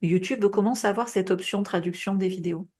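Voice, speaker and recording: A woman coaching on a group call in a relaxed, chatty way, heavy with fillers and check-ins, her voice a bit worn with coughing. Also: medium pitch, quick pace. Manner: casual, advising, many fillers and tu vois. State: coughing, voice tiring. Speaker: business coach.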